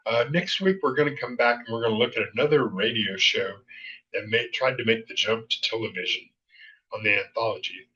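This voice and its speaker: A man hosting a show with casual, somewhat halting commentary, in slightly muffled sound. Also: mid-range voice, sharp tone. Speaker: show host. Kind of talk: casual commentary on vintage television.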